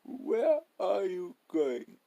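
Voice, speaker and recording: Man voicing a hoarse, taciturn one-eyed innkeeper. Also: sits high, sing-song, extremely slow, dark tone, voice pushed up, full character voice. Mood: gruff warning. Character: taciturn one-eyed male boarding house owner, gruff, warning.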